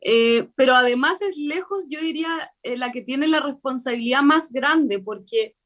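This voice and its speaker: A Chilean woman, a convention member, explaining her work fluently in a radio interview, with frequent filler pauses. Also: sits high, fairly bright tone. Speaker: constitutional convention member, guest.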